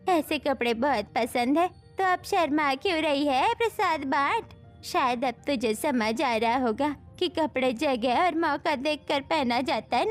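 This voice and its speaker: A woman plays a stern village grandmother in an animated story. She has a high voice and a reproachful, scolding delivery, over background music. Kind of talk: scripted Hindi animated story dialogue.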